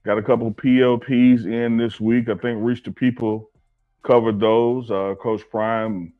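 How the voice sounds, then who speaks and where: A man's voice: medium pitch, flat delivery, measured pace, narrow, tinny audio. A man from Alabama, co-hosting a casual sports chat, joking and teasing his partner. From Selma, Alabama. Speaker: co-host.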